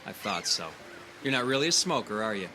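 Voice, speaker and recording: An actor playing a discontented newcomer at a research lab in an audio drama, casual, wry and sarcastic. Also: male voice, lowish voice, very animated, a little fast, bright, forceful tone, faint music behind. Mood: wry, probing. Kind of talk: naturalistic audio drama acting.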